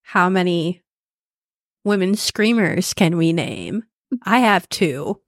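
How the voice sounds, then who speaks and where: A young woman co-hosting a podcast, chatting in a relaxed, rambling way with many "like"s. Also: fairly low pitch, takes it slowly, no echo, clean studio sound. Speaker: podcast co-host. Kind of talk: casual chat.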